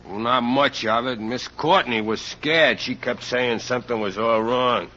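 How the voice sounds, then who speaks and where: A man's voice: medium pitch, fairly even intonation, measured pace, sharp tone, slight background noise. An actor playing a nervous, defensive ex-convict handyman with slangy speech in an old-time radio crime drama. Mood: uneasy recalling. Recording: dull old radio recording.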